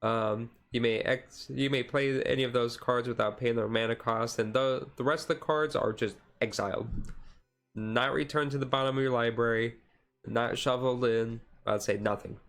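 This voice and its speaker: A man giving a casual, halting ASMR-style commentary as a streamer, explaining things in a rambling way. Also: mid-range voice, fairly even intonation, fairly bright tone. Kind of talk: casual card deck review commentary.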